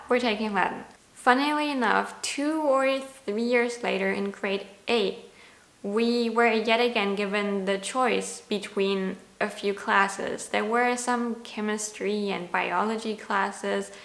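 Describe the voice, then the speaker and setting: A woman's voice: mid-range voice, vocal fry, a bit bright. Chatty German woman in an echoey room. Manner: chatty, candid, self-deprecating. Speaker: language vlogger. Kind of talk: casual talking-to-camera vlog.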